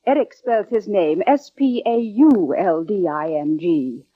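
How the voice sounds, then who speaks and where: A woman's voice: mid-range voice, measured pace, close to an everyday voice. An actress playing a polite, guarded British woman with genteel manners in 1940s radio drama style. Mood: guarded, prim. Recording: dull, band-limited old radio recording.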